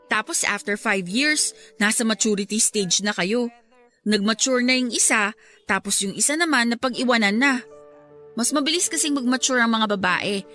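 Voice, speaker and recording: Blunt teenage Filipina little sister, high bright voice, teasing and scolding, radio drama. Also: female voice. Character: frank, teasing teenage younger sister, wiser than her age. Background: music.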